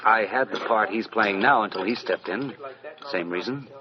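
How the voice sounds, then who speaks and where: An actor playing a wry, jealous out-of-work actor and boyfriend in a 1940s radio drama, on a muffled old recording. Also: male voice, fairly low pitch, moderate pace, lightly acted. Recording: muffled vintage radio recording, crowd behind. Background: busy crowd chatter.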